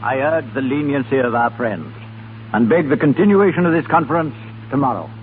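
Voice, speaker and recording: A formal conference delegate, played by a man in a vintage radio drama. He is measured and appealing, with a medium-pitched voice. Faint noise sits under the tinny sound. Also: natural voice. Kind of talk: 1930s radio acting. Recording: noisy, tinny 1930s radio recording.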